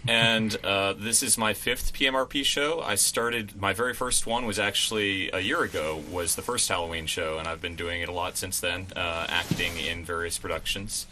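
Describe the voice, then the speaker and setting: A man with a deep voice and a very bright, forward edge gives a casual radio interview. He explains things in a chatty way, as an actor in radio plays would. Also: moderate pace, few pauses, slight background noise. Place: college radio station.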